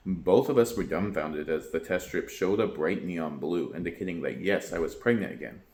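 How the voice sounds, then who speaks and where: A man reading a book aloud for his channel at a steady pace, sometimes stumbling over a word and correcting himself. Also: deep voice, moderate pace. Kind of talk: reading a book aloud, then casual commentary. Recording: echoey room.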